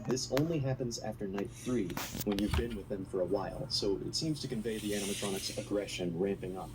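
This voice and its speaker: A man's voice: lowish voice, a little fast, noisy background. A man reading a scripted, analytical video essay narration.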